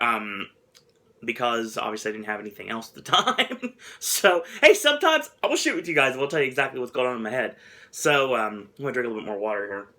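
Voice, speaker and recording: Bright-voiced man reviewing snacks. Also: mid-range voice. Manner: rambling, chuckles often, self-deprecating. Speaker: host. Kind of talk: casual snack review vlog.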